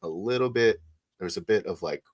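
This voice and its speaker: A man's voice: fairly low pitch, fairly quick, no room sound, studio quality. A man chatting casually and slangily about a roleplay game, with some swearing. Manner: slangy, profane. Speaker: tabletop roleplay player in post-game discussion. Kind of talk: casual chat.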